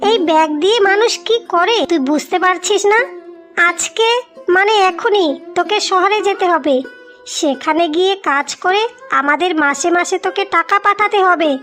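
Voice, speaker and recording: A woman's voice: very high voice, moderate pace, fairly bright tone, faint music behind. A woman voicing a scornful, bullying married village woman in a cartoon, scolding and sarcastic. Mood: sarcastic, bossy. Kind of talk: dramatic cartoon voice acting.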